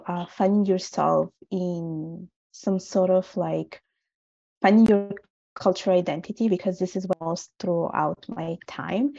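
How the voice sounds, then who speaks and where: Gravelly low-voiced woman from Italy. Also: mellow, dry recording. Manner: reflective, halting, many fillers. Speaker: podcast guest, author. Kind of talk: casual interview talk. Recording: dull lo-fi audio.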